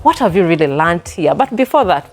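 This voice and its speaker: A woman's voice: on the low side, sing-song, fairly quick, darkish tone, soft background music. A woman co-hosting a vlog in a casual way, readily agreeing and echoing what is said. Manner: agreeable, echoes and affirms her partner. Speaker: co-host.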